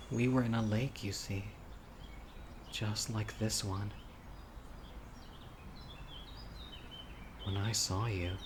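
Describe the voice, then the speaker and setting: A man acting a critically ill, wistful and obsessive young man in an intimate, earnest audio roleplay monologue, musing softly to his date. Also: fairly low pitch. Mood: dreamy, obsessive. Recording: clear outdoor insect and bird noise. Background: birdsong, crickets and insects of a lakeside.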